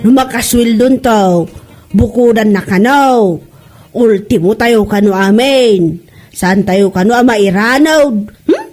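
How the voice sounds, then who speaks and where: A woman acting a gossipy, meddling friend and neighbour, chatty and animated, in a comedy drama. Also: a little high, slow, faint music behind.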